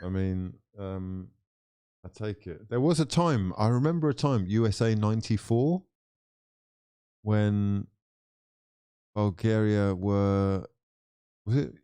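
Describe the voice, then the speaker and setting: A man's voice: fairly low pitch, slow pace, pauses often, mellow, clear, resonant tone, no echo, studio quality. A man hosting a football watchalong stream, chatting with callers in a sarcastic, swearing banter. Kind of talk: casual chat with callers.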